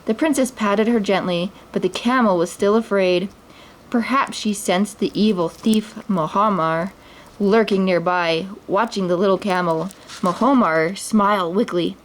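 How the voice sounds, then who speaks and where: A woman reading a children's picture book aloud at story time. Her gentle read-aloud has the odd stumble and restart. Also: mid-range voice, a little slow, low-quality recording. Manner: gentle, with small slips and restarts.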